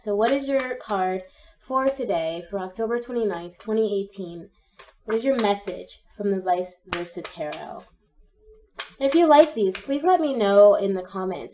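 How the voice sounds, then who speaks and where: A young woman with a cold gives a gentle, encouraging tarot reading, her voice slightly croaky. Her tone is soft and mellow. The audio sounds dull and a little distant, as if she sits back from the mic. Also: medium pitch, slight background noise. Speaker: tarot reader. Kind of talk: pick-a-card tarot reading.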